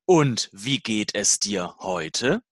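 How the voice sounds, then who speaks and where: A speaker reading a scripted language-learning dialogue slowly and deliberately, in short, simple learner-level sentences. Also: low voice, big pitch swings, no echo, studio quality.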